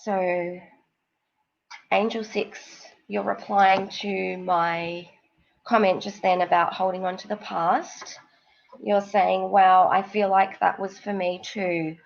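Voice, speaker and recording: A woman with a cold and a snuffly nose, giving psychic card readings on a live stream in a slow, rambling, reassuring way. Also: mid-range voice, level tone, gravelly, highs cut off.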